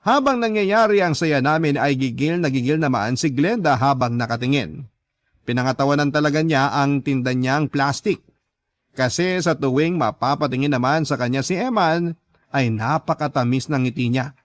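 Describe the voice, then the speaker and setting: A man's voice: mid-range voice, moderate pace, no room sound, studio quality. A man with a Filipino accent giving scripted first-person narration for a radio drama.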